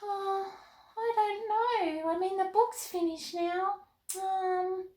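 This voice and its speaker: A woman voicing an excitable, sweet, eager nine-year-old girl doll who gushes to children in a kids' show. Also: extremely high pitch, slow pace, echoey room, voice pushed up. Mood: unsure. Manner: gushing, exclaiming, addressing children. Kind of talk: puppet-style children's show banter.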